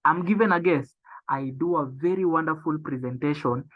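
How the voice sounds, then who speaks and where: A young man giving a motivational business training talk. He speaks emphatically, repeats his key phrases and slows down to stress them. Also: fairly high pitch. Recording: tinny phone-like sound.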